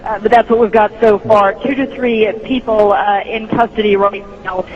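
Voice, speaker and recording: A woman news correspondent giving a live breaking news report in a careful, halting delivery. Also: mid-range voice, steady tone. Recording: dull lo-fi broadcast, faint noise.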